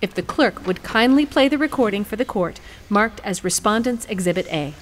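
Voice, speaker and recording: A woman playing a composed attorney who addresses the court formally and deliberately in a courtroom audio drama. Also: medium pitch, expressive, slight background noise, close to an everyday voice. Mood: formal, assured.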